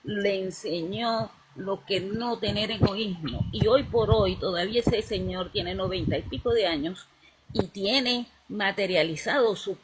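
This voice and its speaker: A woman's voice: mid-range voice, a little slow, noisy background. A woman speaking in a casual spiritual chat, rambling and searching for words as she restarts her sentences. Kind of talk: casual conversation about spirituality.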